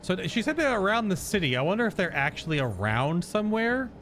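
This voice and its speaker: A man's voice: high voice, sing-song, fast talker, a bit bright, faint music behind. A man giving casual, informal reaction commentary as a game streamer, joking and swearing freely.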